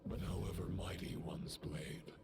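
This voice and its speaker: An actor playing a grave, fatalistic villain in a video game, delivering solemn, deliberate, oratorical lines. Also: male voice, deep voice, steady tone, creaky, very noisy. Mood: grave, brooding. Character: grave, fatalistic villain preaching of fate and Abyss. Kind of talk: dramatic scripted game monologue. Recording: game audio under loud music.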